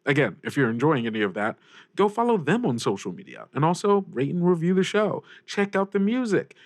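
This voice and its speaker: A man's voice: on the high side, very animated, fairly quick, no echo, studio quality. A man hosting a podcast, giving casual announcements to listeners in an upbeat, informal, rambling way. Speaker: podcast host and creator.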